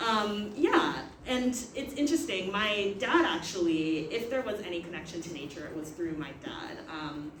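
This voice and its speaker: A woman's voice: medium pitch, animated, normal speed, few pauses. A young woman from Canada, moderating a casual panel talk in a reflective way and laughing often. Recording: echoey room, far from mic, noisy.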